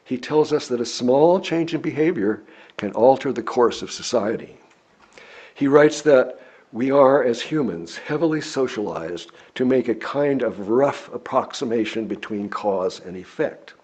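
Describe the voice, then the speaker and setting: An American man reading a sermon from a script, speaking in measured, deliberate phrases with emphatic pauses. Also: mid-range voice, expressive, darkish tone, slightly rough.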